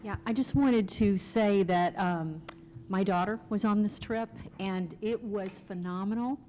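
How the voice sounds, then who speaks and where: A woman's voice: mid-range voice, a little slow, warm tone. A woman speaking as a parent in public comment at a meeting, effusive and emphatic. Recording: noisy meeting room sound.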